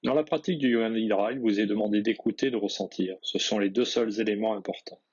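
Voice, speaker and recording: A man leads a guided relaxation meditation in a fairly low, husky voice. He gives measured, simple instructions and lets his phrases fall away softly. The recording sounds slightly dull and lo-fi. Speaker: yoga nidra guide.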